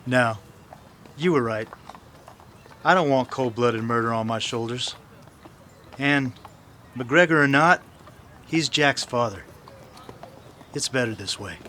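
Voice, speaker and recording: A man's voice: medium pitch, slow, long pauses, sharp tone, a little hiss or hum. A man playing a stubborn, easygoing cowboy sidekick in a western radio drama, plain-spoken and a little defensive. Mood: earnest. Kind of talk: old-time western radio drama acting.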